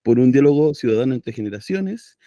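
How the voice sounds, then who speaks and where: A man's voice: mid-range voice, lively intonation, a little fast, warm tone, dry recording, studio quality. A middle-aged man hosting a casual radio interview, hesitant and checking in with "¿cierto?". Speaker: radio host.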